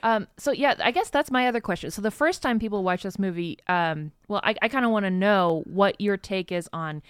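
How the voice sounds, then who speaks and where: A woman's voice: medium pitch, animated, fairly quick, smooth, no echo, clean studio sound. A woman co-hosting a podcast in casual conversation. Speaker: podcast co-host. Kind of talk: casual chat.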